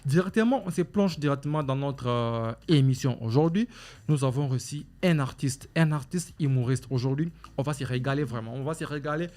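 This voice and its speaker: A man's voice: medium pitch, brisk, a little mellow. A man with a Congolese French accent hosting a talk show, formal and repetitive, with many fillers and restarts. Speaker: show host.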